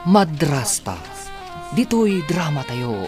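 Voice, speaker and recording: A man's voice: fairly high pitch, sing-song, takes it slowly, over music. A man formally announcing the title and credits of a radio drama. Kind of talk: scripted announcing.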